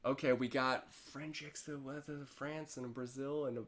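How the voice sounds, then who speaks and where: This young man in his early twenties co-hosts a casual livestream. His voice is mid-range. He reads out viewer comments in an easygoing, teasing way and often lets his lines drop off quietly. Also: medium pitch, fairly even intonation, normal speed. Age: young adult. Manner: laid-back, teasing, often trails off quietly. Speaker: livestream co-host. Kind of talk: casual live-stream chat reading viewer comments.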